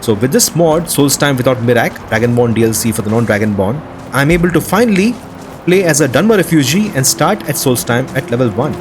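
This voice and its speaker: A man's voice: mid-range voice, animated, a little fast, background noise. A man hosting gameplay commentary on game mods in an enthusiastic, appreciative way. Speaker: host of a game modding news show. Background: prominent game music.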